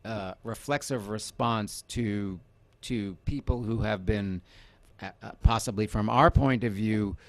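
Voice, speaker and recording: A man explaining his thoughts conversationally as an interview guest, halting and rephrasing as he goes. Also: lowish voice, dry recording. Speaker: interview guest, audio producer and director.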